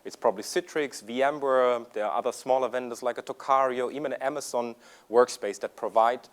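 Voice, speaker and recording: A man's voice: mid-range voice, brisk. A man from Germany with a German accent giving a conference presentation in English, with frequent ums and uhs. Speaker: conference speaker. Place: conference room.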